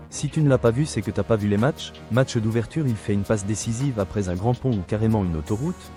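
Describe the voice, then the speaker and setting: Combative man lecturing, dark voice. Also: medium pitch. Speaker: video commentator. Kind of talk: scripted rebuttal monologue.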